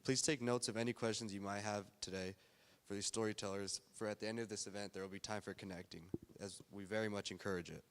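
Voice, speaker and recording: A man's voice: fairly low pitch, steady tone, fast talker, a bit bright, clean tone. A man hosting a university storytelling event, reading a prepared formal welcome. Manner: reads a prepared welcome speech. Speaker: event host. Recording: long hall reverb.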